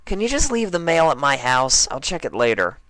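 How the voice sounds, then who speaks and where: A man acts out a brash young mare pony from a storybook in a raised voice. The manner is laid-back, blunt and unimpressed, with lively pitch movement. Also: fairly quick, dry recording, poor audio. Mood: defensive. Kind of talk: fan fiction character reading.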